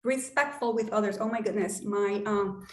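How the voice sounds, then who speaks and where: A woman's voice: mid-range voice, steady tone, moderate pace, roomy sound. A woman from Colombia with a Colombian Spanish accent, a native Spanish speaker, hosting an online workshop in a casual, upbeat way with the odd swear word. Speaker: workshop host and wealth coach.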